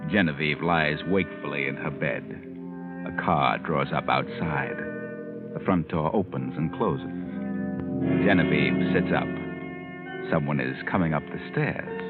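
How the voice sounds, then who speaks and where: Very deep, ominous radio narrator. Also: male voice, a little slow. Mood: suspenseful. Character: ominous, taunting omniscient narrator of crime tales. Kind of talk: 1940s radio mystery narration. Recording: tinny 1940s radio recording. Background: loud dramatic music.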